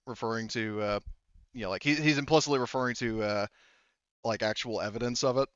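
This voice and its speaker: A man acting as game master, explaining a tabletop roleplaying game in a halting way, often repeating words. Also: medium pitch, fairly even intonation, a bit bright, smooth, dry recording, highs cut off.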